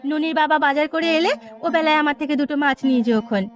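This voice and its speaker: A woman's voice: high voice, fast talker, faint music behind, lightly acted. A woman voicing a caring, chatty village mother with a fussing, homely manner in a cartoon story. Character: caring village mother, young niece, mildly exasperated.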